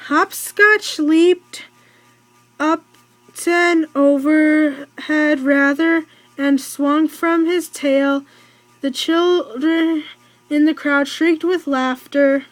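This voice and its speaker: A young girl reads a children's story aloud in a high voice. She goes slowly and haltingly, tripping on words and fixing them. The sound is close and dry. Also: fairly even intonation, no echo, soft background music, low-quality recording. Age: child or teen, in school. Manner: halting, stumbles over words and corrects herself. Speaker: read-aloud narrator.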